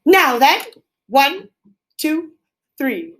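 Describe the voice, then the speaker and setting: A woman voicing a polite field mouse who leads little carol singers in a storybook, respectful and deliberate. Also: sits high, very animated, fairly bright tone, voice pushed up, exaggerated voice. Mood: proclaiming.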